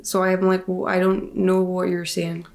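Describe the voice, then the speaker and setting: A woman's voice: on the low side, monotone, a little slow, vocal fry, darkish tone. A young woman chatting casually and gushingly as she reacts to music on a podcast, recorded indoors at a studio microphone. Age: young adult. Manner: enthusiastic, gushing. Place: small furnished room indoors.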